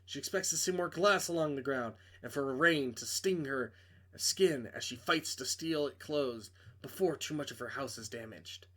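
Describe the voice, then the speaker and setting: A man's voice: mid-range voice, fairly bright tone. A man reading a visual novel aloud as narrator, in a dramatic scripted storytelling style.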